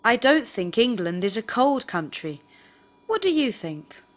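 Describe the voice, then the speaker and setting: Lively, higher-pitched woman asking drill questions. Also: a little slow. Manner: careful, clearly phrased questioning. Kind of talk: scripted question drill, read aloud.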